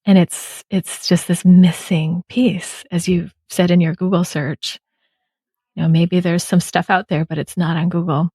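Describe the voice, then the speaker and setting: A woman hosting an interview podcast, speaking gently and affirming her guest with soft agreement. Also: on the low side, flat delivery, measured pace, husky, no echo. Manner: gentle, affirming, lots of mm-hmms. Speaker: podcast host. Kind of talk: casual interview chat.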